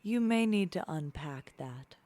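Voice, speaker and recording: Young shapeshifting heroine, played by a woman, lowish pitch, dry close recording, wry and caring. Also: lively intonation, a little slow, mellow, dry recording. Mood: wry concern. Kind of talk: audio drama acting.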